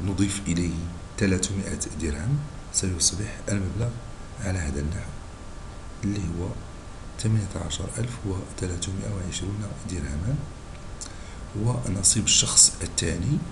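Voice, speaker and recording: A male math teacher with a Moroccan Arabic accent, hoarse and husky from a cold, explaining a lesson slowly and patiently and checking in with his students. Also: low-pitched, noisy background. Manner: slow and patient, checking in with listeners. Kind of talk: screen-recorded math lesson.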